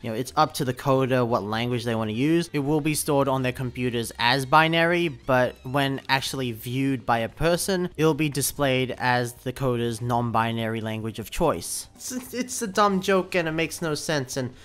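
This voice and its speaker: A young man narrating a video review of a film as a voice-over, talking in an animated, incredulous way with little laughing asides. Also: medium pitch, moderate pace, hardly any pauses, clean tone. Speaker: YouTube commentator. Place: voice-over over gameplay footage.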